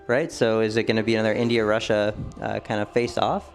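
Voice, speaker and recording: A man's voice: on the low side, level tone, brisk, soft background music. A man co-casting a live esports match, giving thoughtful analysis with many ums and hedges. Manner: thoughtful, hedging with ums and maybes. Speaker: esports co-caster.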